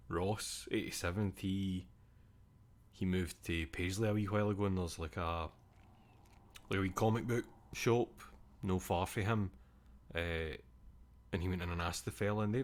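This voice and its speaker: Deep-voiced Scottish man chatting casually. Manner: rambling, swears casually, reads chat aloud. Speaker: streamer. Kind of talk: casual stream chat.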